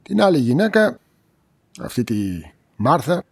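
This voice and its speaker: A defensive, curt shop owner: very animated intonation, husky tone, radio crime drama. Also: male voice, a little high, full character voice. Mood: guarded, curt. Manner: curt, dismissive, colloquial. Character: busy, brusque, defensive male auto-parts shop owner.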